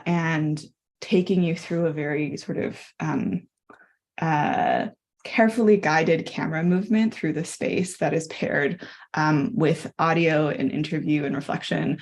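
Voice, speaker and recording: Woman presenter, hesitant and filler-heavy, slightly low voice. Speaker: presenting researcher. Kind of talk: online symposium presentation.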